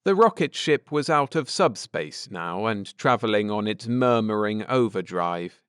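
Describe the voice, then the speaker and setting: A man reading a bedtime story aloud in a measured, expressive storytelling manner. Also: on the low side, smooth, no room sound, studio quality. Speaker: audiobook narrator. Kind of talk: scripted bedtime story narration, read aloud.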